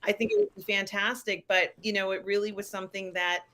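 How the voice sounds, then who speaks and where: A middle-aged woman co-hosting a show, chatting reflectively in a measured, deliberate way with pauses. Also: medium pitch, little pitch movement, pushed and bright. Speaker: co-host of a fan live show. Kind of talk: casual reflective chat.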